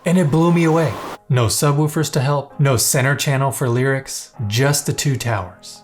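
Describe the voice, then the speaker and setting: A man giving scripted, playful and enthusiastic narration for a product review. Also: mid-range voice.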